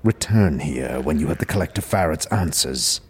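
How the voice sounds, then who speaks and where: A man playing a solemn, heavy-set dustman with a stony voice and formal, measured speech in a fantasy audio drama. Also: extremely low pitch, expressive, full character voice. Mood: grave, solemn. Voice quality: gravelly, stony.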